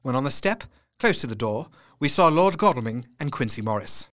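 A man's voice: medium pitch, big pitch swings, brisk, dry recording, natural voice. A man narrating as a grave, troubled Victorian doctor. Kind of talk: audio drama narration.

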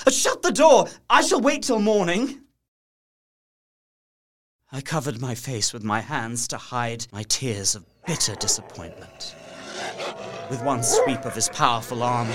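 A man playing an earnest, anguished young English gentleman held prisoner, narrating his diary dramatically in an audio drama. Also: mid-range voice, background noise. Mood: rising dread, then bitter despair. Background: music under the voice.

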